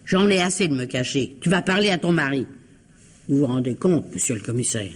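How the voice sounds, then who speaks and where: An actress playing a nosy, chatty older female neighbour in a French mystery radio play, confiding and insistent, with a husky voice. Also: extremely low pitch, animated, fairly quick, exaggerated voice.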